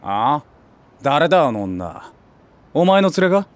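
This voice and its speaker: An actor playing an ambitious, streetwise stray tomcat, a small-time gang big brother with brash, rough talk in a voice drama. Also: male voice, fairly high pitch, very animated, measured pace. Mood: offhand. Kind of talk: Japanese voice drama acting.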